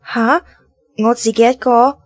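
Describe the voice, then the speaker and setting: An actress with a Hong Kong Cantonese accent playing a polite, uncertain young woman in a radio drama, tentative and halting. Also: a little high, steady tone, dry recording. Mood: uneasy. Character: hesitant, polite young woman with memory loss.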